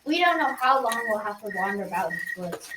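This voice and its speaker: A young female amateur playing a gruff, boastful, grumbling knight in a radio play. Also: mid-range voice, roomy sound, lightly acted. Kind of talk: amateur school radio drama acting. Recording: distant mic, noisy room, clicks.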